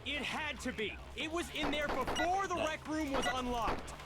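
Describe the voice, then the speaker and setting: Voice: sits high, normal speed, close to an everyday voice. A performer voicing a haughty, argumentative young man in an anime game, clipped and indignant. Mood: frantic denial. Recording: loud music behind. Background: loud game music.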